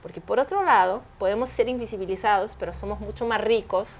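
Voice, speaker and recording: A woman's voice: medium pitch, fast. A woman, speaking as an interview guest. She explains her points emphatically and often poses rhetorical questions. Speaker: guest, entrepreneur. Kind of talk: animated interview conversation.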